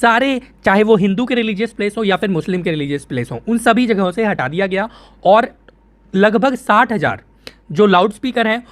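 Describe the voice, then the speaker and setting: A man's voice: high voice, lively intonation, brisk. An Indian man presenting an informal news explainer, conversational, repeating phrases for emphasis and answering his own questions.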